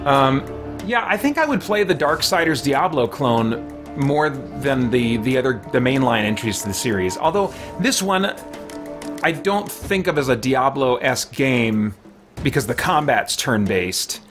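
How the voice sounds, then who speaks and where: A middle-aged man hosts a casual gaming livestream from a small room at home, with a mid-pitched voice. He muses aloud as he plays, drops mild folksy exclamations and reads out chat messages. Game music plays clearly behind him. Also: animated, a little slow. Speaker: livestream host playing a video game. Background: video game music.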